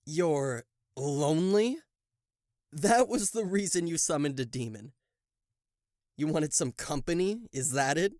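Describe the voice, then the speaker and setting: A man's voice: fairly high pitch, expressive, a little slow, long pauses, darkish tone, dry recording, clean studio sound. A man voicing a theatrical, sarcastic demon king of hell in a roleplay audio drama, proud and showy in manner. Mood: sarcastic, incredulous. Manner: sarcastic, showy, stammering in disbelief. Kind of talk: roleplay audio drama acting addressed to the listener.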